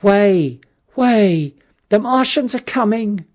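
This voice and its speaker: A male narrator acting a panicked refugee in an audiobook story. The cries are urgent and exclamatory, thrown high in pitch as if to a fleeing crowd. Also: animated, takes it slowly. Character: panicked refugees shouting as they flee down a crowded road.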